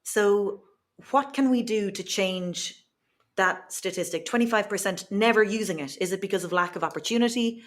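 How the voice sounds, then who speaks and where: A woman's voice: mid-range voice, normal speed. A young woman, a language educator answering interview questions in an earnest, reflective way. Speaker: guest, Irish language educator. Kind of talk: podcast interview.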